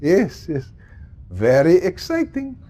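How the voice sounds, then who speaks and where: Elderly male scientist for a game cutscene: slowish, eager, distracted, slightly high voice. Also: huge pitch swings, warm tone, exaggerated voice. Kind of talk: live-action game cutscene acting.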